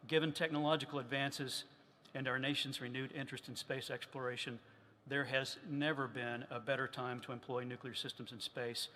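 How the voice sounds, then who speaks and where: A man's voice: mid-range voice, flat delivery, fairly quick, bright, forceful tone. A man giving prepared, formal remarks on technology to a government council, reading steadily as an industry executive. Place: large museum hall. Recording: long reverb in a large hall.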